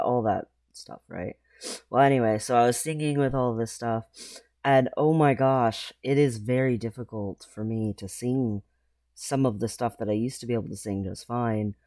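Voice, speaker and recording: A man talking casually in a personal vlog, rambling and halting, with frequent pauses. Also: lowish voice, warm tone, no echo. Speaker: vlogger.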